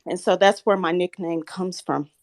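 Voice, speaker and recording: Thirties woman from the american south, a bit shaky, soft then firm, talking in an online chat room. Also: fairly low pitch, fairly even intonation, moderate pace. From Southern United States. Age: 30s. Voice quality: slightly shaky voice. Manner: soft-spoken, earnest, grows firm and insistent when challenged. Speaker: caller. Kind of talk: live audio chat room.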